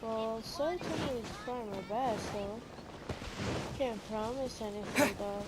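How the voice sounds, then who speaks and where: High-voiced, composed young traveler. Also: female voice, slow, very noisy. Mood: businesslike. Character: composed young female traveler, polite and businesslike. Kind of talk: English video game voice acting. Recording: music behind the voice. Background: game music.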